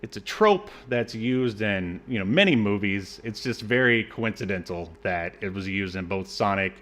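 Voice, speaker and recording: Male podcaster, slightly deep voice, sarcastic and informal recap style. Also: expressive, moderate pace, fairly bright tone. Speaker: podcast co-host recapping a movie. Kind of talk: casual mocking movie recap.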